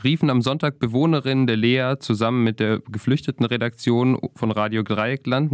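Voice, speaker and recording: Low-voiced male radio presenter, dry studio sound. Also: dry recording. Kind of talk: scripted news narration.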